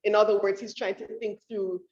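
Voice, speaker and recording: A woman's voice: mid-range voice, level tone, fairly quick, darkish tone. A woman talks through her research in a university lecture room, searching for words with many restarts and fillers. The room is echoey, and the sound is a little dull and muffled. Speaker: featured author at a book talk. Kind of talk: academic talk about her research. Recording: roomy, dull room audio.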